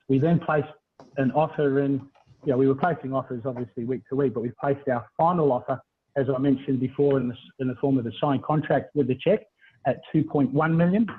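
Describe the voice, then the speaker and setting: Phone-like, husky Australian man presenting. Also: medium pitch. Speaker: property buyers agent presenting. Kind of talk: webinar presentation, conversational sales talk. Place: indoors on a video call. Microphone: video call. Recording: thin, phone-like video call audio.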